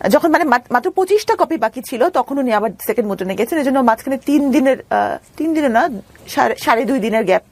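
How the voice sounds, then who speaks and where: A woman's voice: high-pitched, animated, fast, darkish tone, no echo. A Bangladeshi woman telling her own story as an interview guest, earnest and explanatory.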